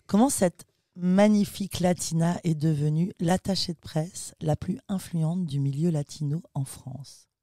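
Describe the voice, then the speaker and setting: A woman's voice: sits low, unhurried, warm tone, dry recording, clean studio sound. A woman from South America hosting a podcast, introducing and questioning a guest. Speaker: podcast host.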